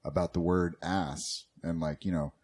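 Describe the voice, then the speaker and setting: A man chatting on a comedy podcast in a relaxed, profane way, recounting a stand-up bit he admired. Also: sits low, normal speed, breathy edge. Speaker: podcast co-host or guest. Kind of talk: casual comedy podcast chat.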